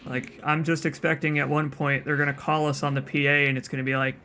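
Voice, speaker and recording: Man, soothing voice, a bit high, casual game commentary, chatty asides, self-corrections. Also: flat delivery, fast, noisy background. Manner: rambling, chatty, frequent restarts and asides. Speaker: let's play streamer.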